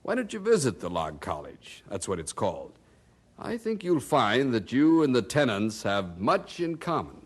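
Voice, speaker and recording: An actor playing a genial, skeptical colonial printer in an audio drama, speaking in a wry, amused, conversational way. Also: male voice, medium pitch, wildly swooping pitch, moderate pace, breathy edge. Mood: wry, affable.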